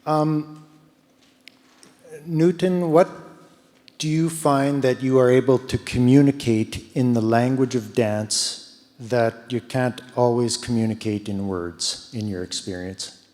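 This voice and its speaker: A man moderating a post-show talk, asking questions gently and thanking the guests with visible emotion. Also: medium pitch, steady tone, slow pace. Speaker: event host and moderator. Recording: reverberant theatre hall.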